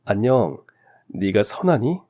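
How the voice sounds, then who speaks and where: Radio story family friend, male, thirties, gentle and reassuring, lowish voice acted by a narrator. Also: big pitch swings, slow pace. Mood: friendly.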